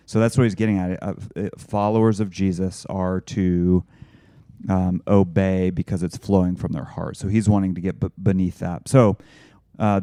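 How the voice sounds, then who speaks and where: A man with a low, very dark voice talks casually as a podcast co-host. He rambles and restarts often, and sounds close and dry on the mic. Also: clear, resonant tone, dry recording. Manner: rambling, frequent restarts and fillers, laughs easily. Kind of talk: casual discussion.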